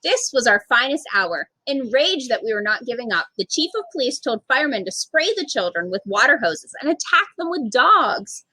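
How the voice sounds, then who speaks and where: A woman's voice: on the high side, very animated, moderate pace, hardly any pauses, pushed and bright, breathy edge, no echo, rough recording. A woman reading a children's picture book aloud expressively as a storytime reader. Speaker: storytime reader, literacy program manager.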